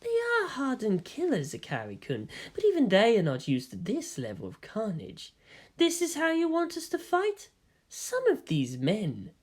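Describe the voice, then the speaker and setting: A hushed, cryptic young male hybrid, put on by a woman reading a story aloud. He speaks softly and evenly, with a faint mocking edge that never rises above a murmur. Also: a little high, wildly swooping pitch, slightly rough, voice pushed up, exaggerated voice. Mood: reproachful. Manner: soft, measured, quietly mocking.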